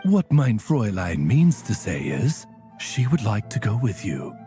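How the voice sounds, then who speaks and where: A woman voicing a formal, courteous raven familiar in a video game, patiently interpreting in a measured way. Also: very deep voice, very animated, warm tone, exaggerated voice. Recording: faint music behind the voice.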